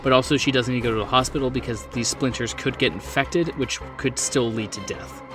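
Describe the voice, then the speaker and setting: A man's voice: medium pitch, a little fast, recorded from a distance. A man working as game master, narrating a horror tabletop game in a descriptive, conversational way. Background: background music.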